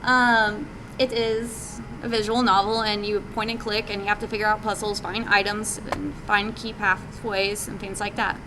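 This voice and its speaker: A woman's voice: mid-range voice, little pitch movement, normal speed. A woman giving an informal live presentation as an indie game developer, in a hesitant, conversational way with casual phrasing. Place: live group meeting, presenting to attendees. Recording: live meetup recording with background noise.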